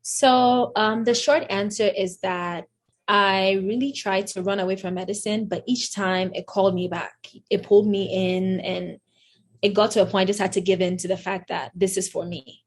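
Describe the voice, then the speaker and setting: A woman in her twenties with a Ghanaian accent, talking as a guest in a relaxed, reflective way with frequent ums. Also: mid-range voice, moderate pace, dry recording. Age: 20s. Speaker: guest, incoming medical student. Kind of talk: casual interview chat.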